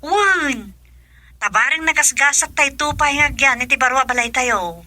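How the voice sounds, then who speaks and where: A very bright, high-voiced Ilocano mother. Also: expressive, normal speed, no echo, exaggerated voice. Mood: pleased. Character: middle-aged mother working abroad, agreeable, practical. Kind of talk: Ilocano radio drama acting.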